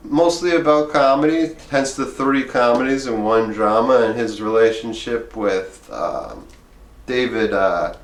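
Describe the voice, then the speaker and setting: A man's voice: mid-range voice, unhurried, a little hiss or hum. A man reading a memoir aloud in a wry, conversational way, with casual asides. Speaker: reader of a memoir. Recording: roomy, echoing room sound.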